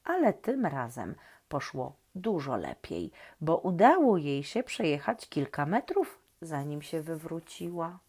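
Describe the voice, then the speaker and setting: A woman reading a children's story expressively and talking gently and directly to young listeners. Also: low-pitched, very animated, measured pace, breathy edge.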